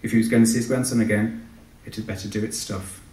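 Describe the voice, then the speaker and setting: A man's voice: lowish voice, level tone, fast, a little mellow. Man reading aloud, echoey hall. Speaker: author. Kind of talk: live reading from a novel. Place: live event in a large venue. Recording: echoey hall, distant microphone.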